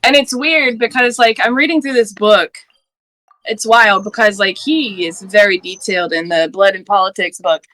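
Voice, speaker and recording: A woman's voice: mid-range voice, normal speed, sharp tone, no room sound, poor audio. A woman in her late thirties from Georgia, talking earnestly and passionately in a casual panel discussion, laughing now and then. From Georgia, US Deep South. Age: 30s.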